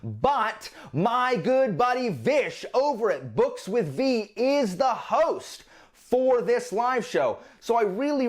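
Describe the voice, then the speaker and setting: A man with a fairly high voice and very lively, swooping intonation. He chats casually like an enthusiastic book vlogger, leaning on words and repeating them for emphasis. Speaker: book reviewer presenting a monthly reading list on his channel. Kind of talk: casual, chatty vlog talk to viewers.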